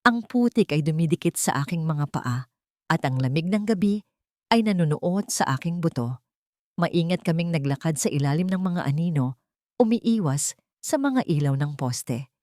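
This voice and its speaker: A woman's voice: deep voice, dark tone, dry recording, clean studio sound. A woman reading a scripted, dramatic first-person audio story as its narrator.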